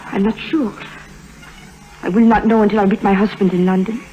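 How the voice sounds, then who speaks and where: A woman's voice: medium pitch, steady tone, fairly quick, mellow, slight background noise, lightly acted. A woman playing a young, guarded European princess in a vintage radio romance, speaking in a formal, hesitant manner. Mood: guarded, wistful. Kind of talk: 1950s radio romantic drama acting. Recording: dull vintage radio recording, music behind.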